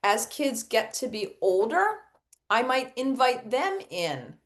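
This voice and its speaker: A woman's voice: fairly high pitch, very animated, takes it slowly. A middle-aged woman giving an instructional webinar for educators, explaining step by step and posing questions that she then answers herself. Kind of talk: instructional webinar presentation with acted read-aloud examples.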